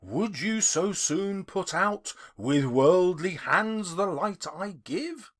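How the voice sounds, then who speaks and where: Guy doing storybook ghost, gentle n solemn, soft, really slow. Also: high voice, expressive, full character voice. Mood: solemn, reproachful. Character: gentle, solemn ageless spirit of childlike old man.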